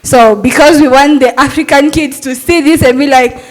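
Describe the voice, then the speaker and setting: A woman's voice: high voice, steady tone, normal speed, faint music behind, low-quality recording. A young adult woman, a Montessori teacher, giving an earnest, persuasive talk at a summit and asking rhetorical questions.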